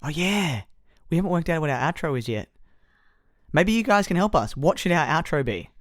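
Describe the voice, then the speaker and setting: A man's voice: on the high side, big pitch swings, brisk, a bit bright, no echo, clean studio sound. A man co-hosting a podcast, easygoing and chatty with frequent ums. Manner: easygoing, many ums and restarts. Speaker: podcast co-host. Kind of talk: casual chat.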